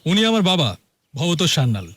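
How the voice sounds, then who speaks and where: An actor playing a proud, genial middle-aged hunter and host in an audio drama, theatrical, knowing and given to chuckling. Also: male voice, a little high, very animated, fairly quick, a bit bright, husky, no room sound, exaggerated voice. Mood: amused.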